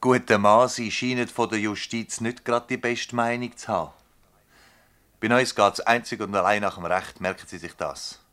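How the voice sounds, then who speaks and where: An actor playing an authoritative public prosecutor with a Swiss German accent, brisk and formal, in a radio drama. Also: male voice, fairly low pitch, fairly even intonation, fairly bright tone, lightly acted. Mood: indignant. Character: authoritative male public prosecutor questioning village witnesses. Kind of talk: radio drama acting in dialect.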